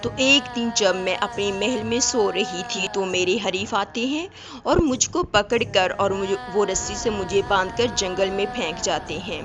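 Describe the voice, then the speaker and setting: A sorrowful witch spirit, once a princess, recounts her wrongs , voiced by a woman. The voice is mid-pitched and set on revenge, in animated storybook style. Music plays clearly underneath. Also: measured pace, natural voice. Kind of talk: animated storybook character monologue.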